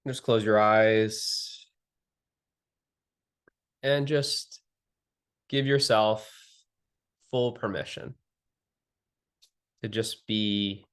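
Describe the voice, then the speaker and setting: A man with a fairly low voice guides a meditation. He speaks very slowly, in short phrases with long pauses between them. His manner is gentle and soothing throughout. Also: steady tone, clean tone.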